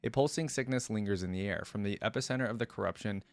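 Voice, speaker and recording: A man with a fairly low voice hosts a reaction video, reading lore aloud and dropping in casual, slangy comments. His delivery is expressive and conversational, and the recording is very dry and close, with no room sound. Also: quick pace, no room sound.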